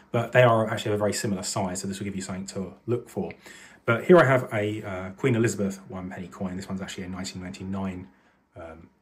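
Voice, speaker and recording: A man hosting an electronics video, narrating a hands-on demonstration at his desk, thinking aloud with fillers and self-corrections. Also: lowish voice. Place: desk with microscope and monitor, indoors.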